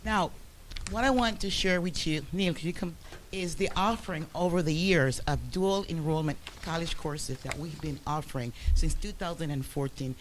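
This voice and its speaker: A man with a fairly high voice presents to a school board in a formal, halting way, pausing often on fillers. The recording is noisy and picked up by a meeting-room microphone. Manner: many fillers and restarts. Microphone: room microphone, quiet for listeners.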